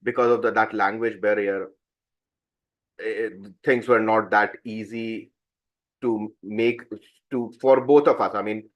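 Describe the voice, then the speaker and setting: A man at a club meeting sharing a personal experience, pausing often to search for words. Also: on the low side, lo-fi sound. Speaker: meeting participant. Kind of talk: personal anecdote.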